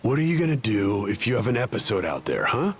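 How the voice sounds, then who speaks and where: A man's voice: fairly low pitch, very animated, quick pace, a little hiss or hum. An actor playing a bitter, protective, hot-tempered middle-aged father in a video game. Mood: incredulous.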